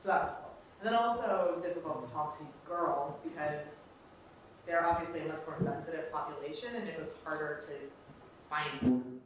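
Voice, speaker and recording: Young American female student presenter, informal and halting, muffled by a dull recording with clear background hiss. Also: sits low. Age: young adult. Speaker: student researcher presenting fieldwork. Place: reverberant indoor room, recorded from afar. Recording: very echoey room, distant muffled mic, noisy.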